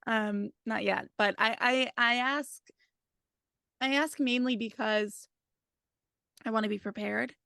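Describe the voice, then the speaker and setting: A woman's voice: a little high, fairly even intonation, slow, vocal fry, long pauses, bright, forceful tone, clean tone, no echo, studio quality. A woman interviewing a guest in casual conversation, feeling her way through questions with some hesitation. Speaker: podcast interviewer.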